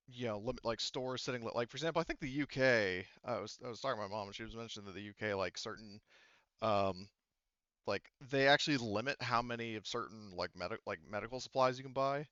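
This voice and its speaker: A man running a tabletop game as game master in casual talk, explaining rules in a halting, rambling way full of asides. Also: lowish voice, fairly bright tone, clean tone, no echo, muffled recording.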